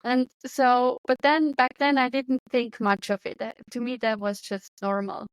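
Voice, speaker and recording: A woman with a Swiss accent speaks in a somewhat high voice, earnest and searching, often restarting her sentences. She is a guest in a casual interview. The sound is dry and close. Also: little pitch movement, a little slow, clear, resonant tone, dry recording, rough recording. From Switzerland. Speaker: guest, medium and coach.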